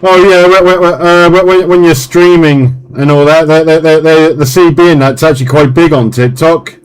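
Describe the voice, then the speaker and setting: A man's voice: on the high side, fairly even intonation, moderate pace. A man hosting a CB radio net in casual chat, often stumbling and repeating his words, with a polite manner. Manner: hesitant, repeats words and phrases; polite, apologetic. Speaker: CB radio net host and live streamer. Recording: very noisy CB radio session with engine-like hiss. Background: loud radio noise and clicks.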